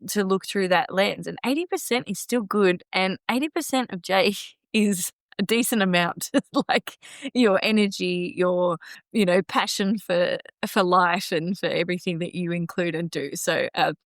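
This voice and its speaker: A woman in her thirties hosting a casual podcast chat, chatty and quick to laugh. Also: lowish voice, dry recording, studio quality. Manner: chatty, laughing easily, lots of fillers. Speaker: podcast host. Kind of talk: casual conversation.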